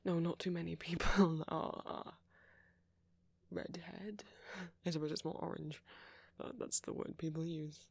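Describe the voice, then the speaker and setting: A man playing a friendly, flirty vampire in an intimate ASMR roleplay, softly spoken, polite and apologetic as he rambles. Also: a little high, little pitch movement, measured pace, vocal fry, no room sound. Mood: friendly, inviting. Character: friendly, flirty, gentlemanly male vampire, chatty and considerate.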